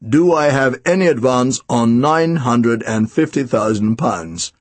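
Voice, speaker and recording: A man voicing a posh male auctioneer with a plummy upper-class British accent, announcing bids in a slow, formal, drawn-out way. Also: mid-range voice, lively intonation, no echo, pitched below the performer's own voice. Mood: formal, mounting.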